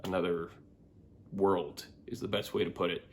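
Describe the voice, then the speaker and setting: A man's voice: low voice, flat delivery, moderate pace, creaky, slight background noise. A man giving a casual book review to his viewers, often repeating words and backtracking as he talks.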